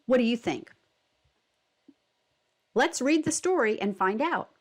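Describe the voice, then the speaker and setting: A woman giving instructional narration and reading a picture book for children, expressive and deliberate, with prompting questions. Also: a little high, breathy edge. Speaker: read-aloud instructor.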